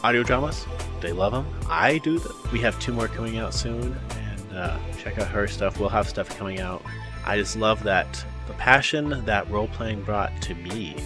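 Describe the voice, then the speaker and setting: Male host chatting over clear music. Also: lowish voice, normal speed. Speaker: interview show host. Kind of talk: casual interview chat. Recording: music playing behind the voice.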